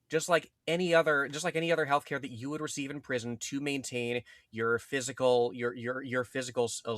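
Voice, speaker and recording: A man co-hosting a political podcast discussion, speaking haltingly and often rephrasing as he goes. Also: medium pitch, fairly bright tone, no room sound, studio quality. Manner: halting, repeats and rephrases words. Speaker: podcast co-host.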